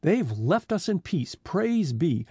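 A man's voice: fairly high pitch, big pitch swings, slow, warm tone, slightly rough, no room sound, studio quality, voice pushed up, heavily acted. A man voicing a kindly middle-aged farmer's wife in a storybook reading, homely in manner. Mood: relieved. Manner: homely, relieved.

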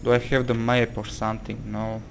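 A man's voice: medium pitch, flat delivery, a little fast, background noise. A man from Croatia with a Croatian accent, casually commenting on a game, hesitant and exclamatory, reading its text aloud. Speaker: gameplay commentator. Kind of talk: casual let's-play commentary.

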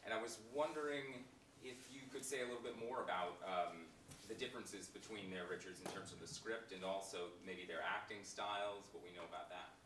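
Deep-voiced man asking from afar. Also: fairly even intonation, brisk. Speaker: questioner at a panel. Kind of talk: careful spoken question. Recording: echoey theater, distant, faint noise.

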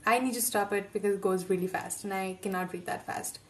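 A woman's voice: medium pitch, steady tone, fast talker, slight background noise. An outspoken young woman from India gives casual reaction commentary, emphatic and talking with her hands. English is not her first language. She sits a little back from her earphone mic in a home bedroom, so her voice sounds slightly distant. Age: young adult. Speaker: reaction video host.

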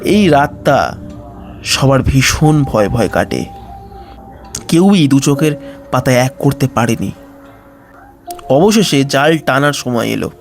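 A man narrating a horror story as a storyteller, dramatic and suspenseful, with deliberate pauses. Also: mid-range voice, lively intonation. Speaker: storyteller, channel host. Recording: music under the voice.

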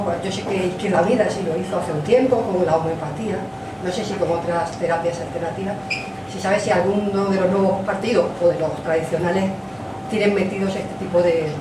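A woman with a Spanish accent asking a question from the audience, hesitant and searching for words. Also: mid-range voice. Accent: Spain. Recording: echoey pub from far off, noisy.

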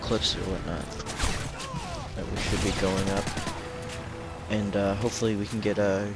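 A hoarse, sick teenage boy giving rambling, casual commentary over his own gameplay. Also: on the low side, fairly even intonation, moderate pace, over loud music. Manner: rambling, self-correcting, with frequent restarts. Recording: loud gunfire from the game behind.

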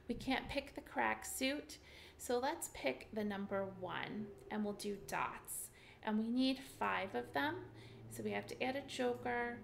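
A woman's voice: on the high side, vocal fry, a little hiss or hum. A middle-aged woman teaching a game on a webcam, walking through each step in an upbeat, chatty way with frequent ums. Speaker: mahjong teacher. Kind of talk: screen-share tutorial walkthrough.

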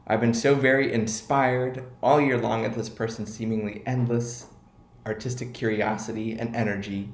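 A man's voice: mid-range voice, flat delivery, moderate pace. A man in his twenties gives a prepared award tribute to a camera a few feet away at home. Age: 20s. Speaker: award presenter. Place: living room at home. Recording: echoey room.

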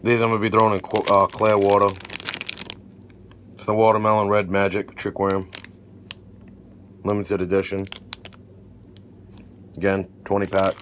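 Low-voiced man in casual vlog, noisy recording. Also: monotone, normal speed, pauses often, fairly bright tone. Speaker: fishing video creator. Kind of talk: casual unboxing vlog narration. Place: indoors at a wooden table. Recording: noticeable background noise.

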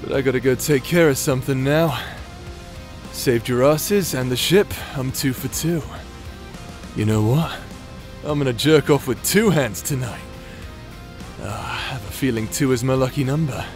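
A man's voice: medium pitch, moderate pace, a little mellow, soft background music, natural voice. A man acting a cocky, lewd, battle-crazed swordsman in an anime-style audio drama, brash, crude and teasing. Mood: smug, winding down.

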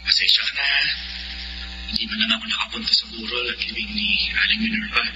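A man's voice: medium pitch, sing-song, fast, lo-fi sound, very noisy, lightly acted. An actor playing a polite, apologetic young man on a call in a Filipino radio drama. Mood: apologetic, eager.

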